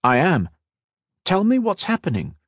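Man doing a headstrong, high-pitched young woman. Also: very animated, takes it slowly, husky, no echo, clean studio sound, heavily acted. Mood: sharp, impatient. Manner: blunt, demanding, sharp. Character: headstrong, impatient young woman who demands answers.

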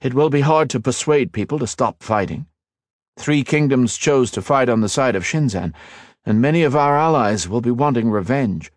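A man's voice: medium pitch, animated, normal speed, no echo, studio quality, voice pushed up, lightly acted. A man voicing a modest young hero. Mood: earnest. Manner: earnest, modest. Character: modest young male hero, earnest and steady.

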